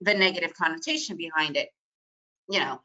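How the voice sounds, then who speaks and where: Energetic bright-voiced woman trading coach. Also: fairly low pitch, a little fast, poor audio. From Soviet Union. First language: Russian. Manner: energetic, emphatic, repetitive phrasing. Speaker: trading educator. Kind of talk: webinar presentation.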